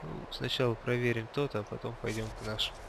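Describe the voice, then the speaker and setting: A man with an average-pitched voice talks casually with others during a game. His microphone picks up clear background noise. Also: steady tone, fast. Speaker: gamer in a group session. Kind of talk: casual gaming chat. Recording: clearly noisy voice-chat microphone.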